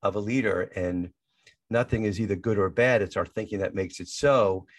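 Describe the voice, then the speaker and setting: A man's voice: fairly low pitch, moderate pace, no room sound. A man in his sixties who stutters, hosting an interview as a coach and often repeating words as he talks. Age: 60s. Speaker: podcast host and coach. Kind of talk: casual interview chat.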